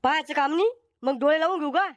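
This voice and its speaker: A squeaky, very high cartoon villager, played by a woman, blunt and always ready to bicker. The sound is dry and close, with comic village dialogue. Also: fast, dry recording, lightly acted. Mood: argumentative.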